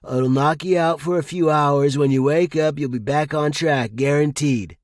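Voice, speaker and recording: Man acting out a loud, high-pitched young party dude. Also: no echo, clean studio sound, close to an everyday voice. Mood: amused. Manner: shouting over crowds, slangy, drawn-out words. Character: brash young male party buddy, loud, teasing, egging on. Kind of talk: audio fiction character acting.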